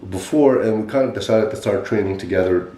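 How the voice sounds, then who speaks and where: A lower-pitched man of about forty talks with a mellow, dark tone, and his voice gets emphatic as he tells his story. His many restarts are clear in an echoey room. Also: brisk. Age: 40s. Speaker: podcast host sharing his fitness story. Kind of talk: casual podcast chat.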